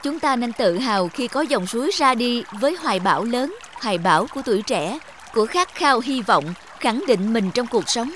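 A woman voicing a gentle, caring elder-sister lake spirit in a children's cartoon fable, soothing and reasoning. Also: a little high, animated, moderate pace, noisy background, natural voice. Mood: reassuring.

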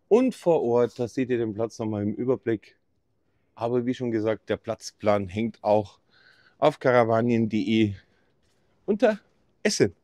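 A middle-aged man leads a casual, upbeat walk-through of a campsite, cracking small jokes as he goes. His voice has a mellow, warm tone. He talks into a camera he holds at arm's length. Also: fairly low pitch, no room sound, studio quality. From Bavaria, Germany. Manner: chatty, upbeat, jokey. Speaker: camping vlogger. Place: campsite outdoors and indoor reception office.

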